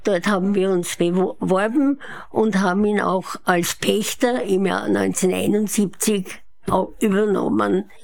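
Slow-speaking elderly Viennese woman. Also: medium pitch, fairly even intonation, vocal fry, a little mellow. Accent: Viennese Austrian. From Vienna, Austria. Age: 80s. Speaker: interview guest. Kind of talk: unhurried autobiographical storytelling. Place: her apartment living room.

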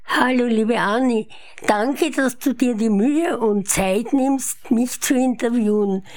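Slow-speaking elderly Viennese woman. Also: on the high side, darkish tone. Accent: Viennese Austrian. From Vienna, Austria. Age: 80s. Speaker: interview guest. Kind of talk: unhurried autobiographical storytelling. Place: her apartment living room.

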